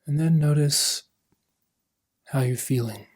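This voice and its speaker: A man leading a guided meditation as a coach, speaking gently in unhurried phrases that trail off softly. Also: fairly high pitch.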